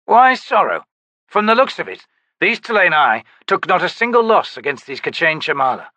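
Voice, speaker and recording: A man's voice: fairly high pitch, sing-song, normal speed, bright, forceful tone, no echo, voice pushed up. A man voicing a disciplined, composed male knight commander in an audiobook, speaking formally and firmly. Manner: formal, firm, courteous.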